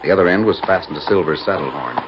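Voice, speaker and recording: A man narrating a 1940s radio western with dramatic, measured storytelling. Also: low voice, background noise, natural voice. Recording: muffled 1940s radio recording with loud music.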